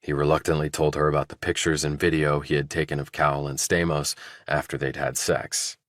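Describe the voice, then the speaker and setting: A man narrates a crime thriller audiobook in a very deep voice. The delivery is scripted storytelling, recorded dry and close with no room sound. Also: flat delivery, fairly quick, a bit bright, dry recording.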